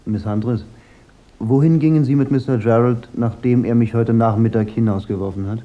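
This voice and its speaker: A man's voice: medium pitch, fairly even intonation, moderate pace, lo-fi sound, close to an everyday voice. Man acting a dry, sardonic private eye. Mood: probing, sardonic. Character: wry, unflappable male private detective. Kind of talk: German radio crime drama acting.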